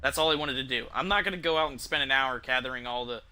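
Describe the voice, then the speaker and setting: A man's voice: mid-range voice, fast talker, sharp tone, clear, resonant tone. A man who streams games, giving casual, rambling commentary and thinking aloud in informal, mildly profane language. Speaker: game streamer.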